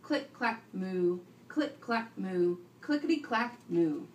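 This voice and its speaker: Woman, primary teacher, animated intonation, read-aloud and questioning style, instructive, distant microphone, roomy classroom. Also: mid-range voice, slow. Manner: instructive, prompting and repeating pupils' answers. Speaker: teacher reading aloud to a class of young children. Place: indoor classroom, recorded from a distance. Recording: roomy, distant classroom recording with faint noise.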